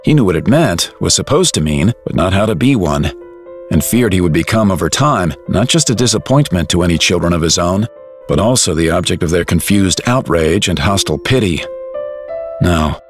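A man narrating an audio drama in a measured, reflective storytelling style with dramatic pauses. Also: low-pitched, expressive, soft background music.

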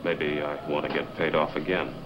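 An actor playing a cold, scheming male blackmailer in a 1950s film drama, with a low, coolly threatening delivery. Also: flat delivery, normal speed. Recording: old film soundtrack with light hiss.

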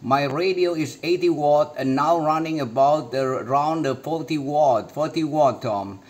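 A man's voice: on the high side, measured pace, a little mellow. A man from Indonesia with an Indonesian accent, working as an amateur radio operator, making short exchanges with call signs and repeating his phrases.

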